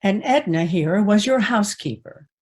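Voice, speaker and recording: An actress playing a sharp-tongued, protective frontier woman with an American accent, husky and blunt, in a Western radio drama. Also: medium pitch, expressive, normal speed. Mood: accusing. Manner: blunt, pointed questioning.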